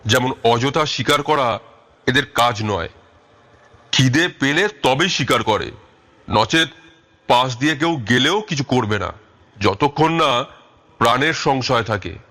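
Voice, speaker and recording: A man playing a confident, commanding detective in an audio story, speaking in a measured, wry way. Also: mid-range voice, lively intonation, pushed and bright. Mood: instructive. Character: calm, confident, commanding male Bengali detective. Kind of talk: audio story character acting.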